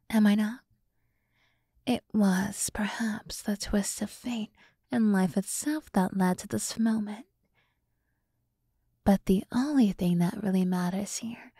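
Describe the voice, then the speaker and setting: A woman playing a sultry, teasing demoness in an ASMR roleplay, speaking in a soft half-whisper with slow, condescendingly soothing deliberation, close to the microphone. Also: medium pitch, gravelly, breathy edge, dry recording, heavily acted. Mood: smooth, courteous. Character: sultry, teasing demoness summoned to serve a human.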